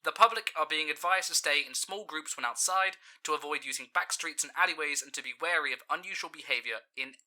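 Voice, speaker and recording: A man's voice: fairly high pitch, a little fast, hardly any pauses, pushed and bright. An actor playing a man in an audio drama. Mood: formal.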